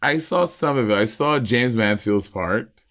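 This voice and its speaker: American guy on a podcast, mid pitched voice, chatting and acting out what people said. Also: expressive, unhurried, fairly bright tone. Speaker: podcast co-host. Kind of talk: casual review chat. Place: small indoor room at home.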